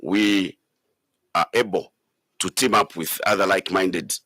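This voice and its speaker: A man with a Zambian accent speaking as a politician in an interview, hesitant and deliberate with many pauses. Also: sits low, steady tone, bright, forceful tone, dry recording, clean studio sound. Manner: hesitant, deliberate, pausing often. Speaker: politician, member of parliament. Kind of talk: spoken interview remarks.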